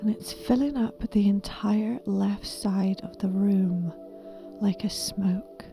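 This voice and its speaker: Woman doing guided meditation, super slow n gentle, whispery breathy voice, bit of creak, soft music behind. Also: medium pitch. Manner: soothing, gentle, deliberate.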